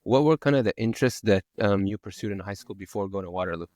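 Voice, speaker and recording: A man hosting a podcast, asking questions in a halting way, often restarting and repeating words. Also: lowish voice, a little mellow, dry recording, clean studio sound. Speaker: podcast host. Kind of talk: casual podcast interview.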